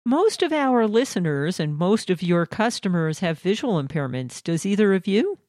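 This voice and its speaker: A woman co-hosting a podcast, reading intros and sponsor notes in a measured, announcing way. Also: on the low side, big pitch swings, darkish tone, dry recording, clean studio sound. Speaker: podcast co-host. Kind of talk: scripted host reading.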